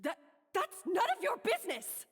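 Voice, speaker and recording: A woman's voice: very high-pitched, wildly swooping pitch, moderate pace, exaggerated voice. A woman voicing a hot-tempered young head chef in an anime game, imperious and confrontational. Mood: flustered anger. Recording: long reverb, music behind.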